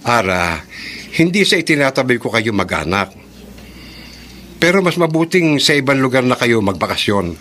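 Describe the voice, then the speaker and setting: A man's voice: mid-range voice, very animated, normal speed, pauses often, fairly bright tone. Earnest, worried man, faint noise. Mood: grave, warning. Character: grave, worried adult man in horror drama. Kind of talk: Filipino radio drama acting.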